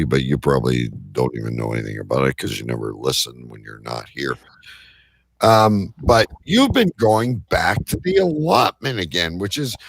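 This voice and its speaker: A male talk show host with a deep, raspy, crackling voice. He banters casually and bluntly, swears freely and keeps a joking manner. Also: no echo.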